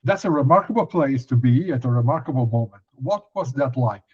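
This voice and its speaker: A man interviewing a guest, putting questions in hesitant pieces with pauses between them. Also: medium pitch, animated, slightly rough, muffled recording.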